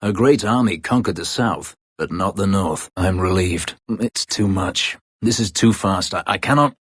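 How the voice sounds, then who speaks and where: An actor playing a brooding, bitter escaped slave elf warrior in a video game, speaking tersely and formally in a husky voice. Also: male voice, low voice, a little slow, a bit bright, no room sound, clean studio sound, exaggerated voice. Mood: subdued, troubled. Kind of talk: video game character dialogue.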